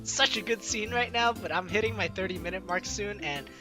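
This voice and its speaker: A man's voice: high-pitched, brisk. A man hosting a let's play, reading a visual novel aloud with casual asides and a giggly manner. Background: game music.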